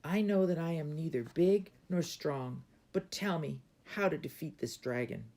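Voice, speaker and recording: A man's voice: a little high, measured pace, darkish tone, pitched above the performer's own voice. A man voicing a brave young storybook princess, earnest, pleading and determined.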